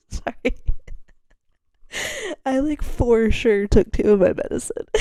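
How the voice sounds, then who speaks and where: A young woman chats on her own podcast, rambling, giggling and swearing freely. Her voice is gravelly and mellow. The sound is dry and close. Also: no echo. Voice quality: gravelly low-toned voice. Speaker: podcast host. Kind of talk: casual solo chat.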